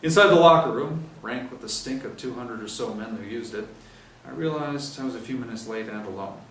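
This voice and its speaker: A man performs a first-person crime story with a hard-boiled, emphatic delivery. His voice sits at an average male pitch. He is recorded in an echoey room at some distance from the microphone, with faint noise behind him. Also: fairly quick, fairly bright tone. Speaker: reader of a novel chapter. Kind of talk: dramatic first-person crime fiction narration.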